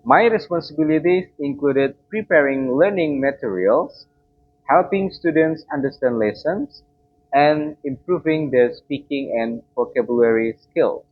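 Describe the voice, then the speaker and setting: A young man whose first language is Indonesian gives a formal student presentation, reading from his notes. He speaks slowly and carefully, with a slightly husky voice. The recording is dull and lo-fi. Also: medium pitch. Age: young adult. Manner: slow, careful, formal delivery.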